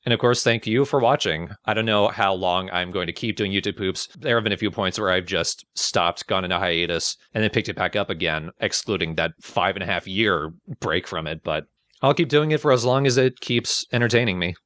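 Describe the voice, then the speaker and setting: Low-voiced man talking casually. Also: a little fast, a bit bright, no echo. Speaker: video creator, host. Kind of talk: casual personal reflective talk.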